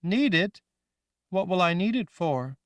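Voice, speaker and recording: This is a frightened, childlike young woman with a high, anxious, pleading voice, as a male audiobook reader puts her on. She talks slowly and her pitch swings widely. The recording is close and dry. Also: animated, no room sound. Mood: scared pleading. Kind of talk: audiobook reading.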